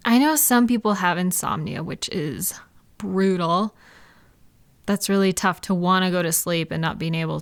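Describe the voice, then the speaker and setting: A woman playing a supportive, gentle female friend in an intimate, casual comfort roleplay, speaking in a reassuring, validating way. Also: medium pitch, no echo. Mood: gentle, understanding. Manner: reassuring, validating, softly indignant on listener's behalf. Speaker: audio roleplay performer.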